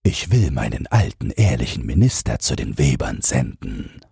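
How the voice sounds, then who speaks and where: A man's voice: rumbling low, big pitch swings, normal speed, vocal fry, warm tone, slightly rough, no room sound, studio quality, voice pushed down, full character voice. A man voicing a vain, self-important emperor musing to himself in a fairy tale.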